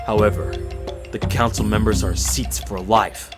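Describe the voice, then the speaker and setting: A man playing a low-voiced, bitter, proud warrior. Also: steady tone, measured pace, lightly acted. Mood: bitter, grave. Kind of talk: fan-made anime audio drama acting. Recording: dramatic music behind the voice.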